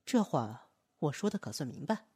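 An actress playing a proud, aloof opera performer and strict master in a radio drama, with a cold, curt, haughty way of talking. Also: female voice, sits low, sing-song, moderate pace, warm tone, breathy edge, no echo, clean studio sound, heavily acted.

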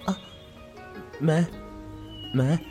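A man's voice: fairly high pitch, very slow, soft background music. An actor playing a cheerful, good-hearted young man who chats eagerly and laughs easily in an audio drama. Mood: flustered. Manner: bright, chatty, laughs easily.

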